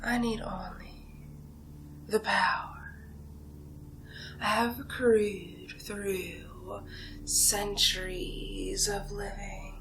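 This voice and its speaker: A woman playing an ancient, seductive female vampire in a whispered ASMR roleplay, taunting her prey in a breathy, theatrical way with drawn-out pauses. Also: medium pitch, fairly even intonation, a bit bright, a little hiss or hum, low-quality recording. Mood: proud, threatening. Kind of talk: ASMR roleplay monologue, soft-spoken and whispered. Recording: music behind the voice. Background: music.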